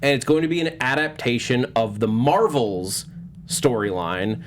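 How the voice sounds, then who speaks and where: A man hosting a panel discussion, talking casually in a halting, wordy way and often backtracking as he explains. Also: medium pitch, animated, a little hiss or hum. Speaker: host of a movie news panel show.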